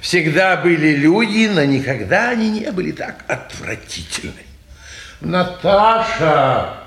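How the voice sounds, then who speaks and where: A man playing a disgusted, grumbling old man in a 1950s Russian stage drama, with theatrical drawn-out delivery. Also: on the high side, very animated, a bit bright. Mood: irritated, bitter. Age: older. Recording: echoey room, 1950s radio theatre recording.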